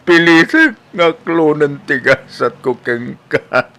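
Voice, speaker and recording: Man acting lovelorn young suitor, highish voice, very slow delivery, Ilocano accent, sorrowful and self-sacrificing, radio comedy drama. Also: sharp tone, heavily acted. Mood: resigned, wistful.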